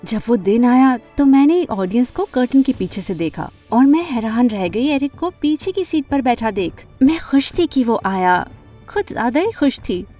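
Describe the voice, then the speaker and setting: An earnest teenage girl narrating an animated drama. Her voice is high and soft-edged, with very lively swings in pitch. She is expressive and emotional, with music under the voice. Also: moderate pace. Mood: wistful narrating. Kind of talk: first-person animated story narration and dialogue.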